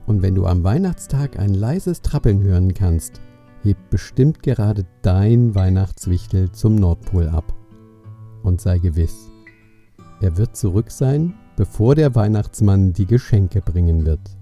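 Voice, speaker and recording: A man's voice: deep voice, animated, measured pace, dark tone, faint music behind. A man narrating a children's story in a scripted storytelling style.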